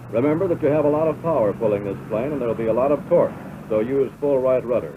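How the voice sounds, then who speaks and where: Man, American accent, 1940s instructional film narrator, measured delivery, thin narrow-band old soundtrack, clearly noisy. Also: medium pitch. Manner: measured, instructive, addressing the listener as pilot. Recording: tinny band-limited 1940s film soundtrack, loud noise.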